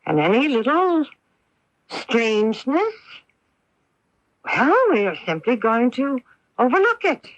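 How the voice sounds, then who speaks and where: A woman's voice: on the high side, big pitch swings, takes it slowly, pauses often, no room sound, full character voice. Doting, fretful mother, swooping voice. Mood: determined, hopeful. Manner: fretful, coddling. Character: doting, anxious, defensive middle-aged mother. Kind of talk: radio drama acting. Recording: dull lo-fi radio recording.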